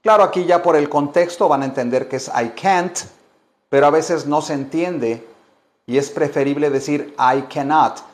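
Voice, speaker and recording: A man teaching English, switching between languages and repeating each phrase slowly for learners. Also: on the high side. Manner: slow, deliberate drilling with many repetitions. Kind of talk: bilingual language lesson.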